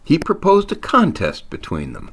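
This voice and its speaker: A man's voice: on the high side, huge pitch swings, normal speed, slightly rough. A man reading a scripted story aloud as its narrator, with theatrical emphasis on wordplay and punchlines. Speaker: author reading own short story.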